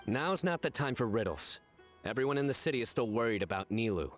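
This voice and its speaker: A man voicing a calm, earnest young adventurer in a video game, speaking in a measured, inquisitive way. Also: medium pitch, soft background music, close to an everyday voice. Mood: calm, curious.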